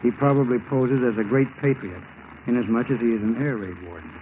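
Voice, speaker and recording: A man's voice: medium pitch, brisk, mellow, highs cut off, close to an everyday voice. An American man playing a sceptical, wry FBI agent in 1940s radio drama style, speaking in a dry, matter-of-fact way. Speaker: actor in a radio crime drama. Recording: noisy 1940s radio broadcast recording.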